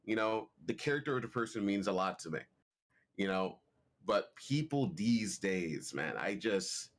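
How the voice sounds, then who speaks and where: A man's voice: on the low side, expressive, a little slow. A man in casual voice chat with friends, rambling and restarting as he talks.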